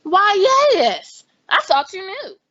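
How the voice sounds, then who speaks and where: Bright, gossipy telephone operator woman. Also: very high voice, big pitch swings, no echo, dull audio, exaggerated voice. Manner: chatty, brisk, confiding. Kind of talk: old-time radio suspense acting.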